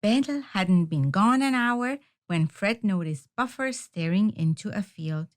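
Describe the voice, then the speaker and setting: A woman reads a children's story aloud at a slow, deliberate pace. Her telling is expressive, and her voice sits at a middle pitch. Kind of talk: children's picture book read-aloud.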